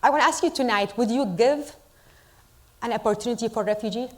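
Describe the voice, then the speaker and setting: Middle-aged Iraqi-born woman, fairly high voice, earnest deliberate speech to a crowd, English. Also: darkish tone. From Iraq. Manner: earnest, deliberate, with pauses for emphasis. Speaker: storyteller at a community event. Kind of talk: speech to a live audience.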